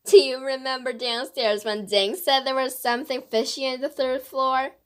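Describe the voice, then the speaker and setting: Woman reading as playful, emphatic woman author, higher voice. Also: steady tone, moderate pace, fairly bright tone. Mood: amused. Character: playful, mischievous woman mystery author confessing her scheme.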